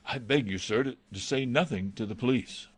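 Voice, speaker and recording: A man's voice: medium pitch, brisk, husky, no room sound, close to an everyday voice. A man playing an anxious, loyal butler, hesitant, formal and respectful, in a dramatised reading of a classic novel. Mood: anxious pleading. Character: deferential, anxious male butler, pleading and loyal.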